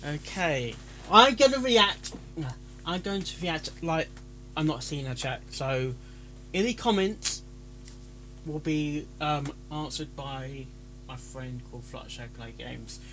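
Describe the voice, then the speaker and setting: A man's voice: on the high side, animated, slow pace, sharp tone, faint music behind, poor audio. A man chatting casually as a live-stream reactor, stumbling over his words and interrupting himself. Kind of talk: casual live-stream chat with rehearsed intros.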